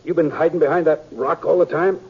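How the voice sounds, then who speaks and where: An actor playing a kindly, grateful sheriff who stumbles and repeats words in a 1950s radio western. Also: male voice, on the high side, fairly even intonation, fairly quick, darkish tone, breathy edge. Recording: dull, noisy old radio recording.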